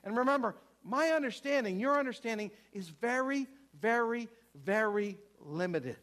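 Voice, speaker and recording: A man with a notably high voice delivers a sermon with strong emphasis. He repeats his key phrases and puts questions to his listeners. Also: expressive, normal speed, fairly bright tone. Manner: emphatic, repetitive, asks the congregation questions. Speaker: preacher.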